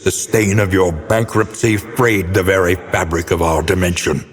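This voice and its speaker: Very deep, brooding gothic narrator. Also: male voice, steady tone, slightly rough. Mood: grave, accusing. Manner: solemn, portentous, theatrical, deliberate phrasing. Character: grave, brooding older male scholar narrator of gothic doom. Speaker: game narrator. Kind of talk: scripted dramatic game narration. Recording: long cavernous reverb on voice.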